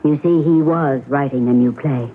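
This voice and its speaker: An actress playing an aging, poised and sardonic stage star under suspicion in a radio drama, speaking in a theatrical, measured, ladylike manner. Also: rumbling low. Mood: sorrowful, confessing. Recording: noisy, narrow-band vintage radio drama recording.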